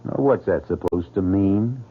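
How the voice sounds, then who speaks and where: An actor playing an earnest, romantic gentleman planter, theatrical and impassioned, in an old radio drama. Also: low voice, measured pace, slightly rough. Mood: defiant. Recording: tinny, noisy old radio broadcast.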